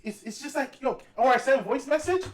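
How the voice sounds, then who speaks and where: A man speaks very fast in loose, slangy podcast talk. He is emphatic and repeats himself to make his point. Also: very high-pitched, animated, faint music behind. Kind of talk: casual podcast chat.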